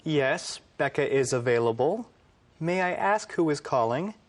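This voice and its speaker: A man playing a young cafe worker and teasing brother in a scripted sitcom, speaking glibly with clear enunciation. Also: fairly high pitch, lively intonation, a little slow. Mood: polite, businesslike. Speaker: actor in an English-teaching sitcom.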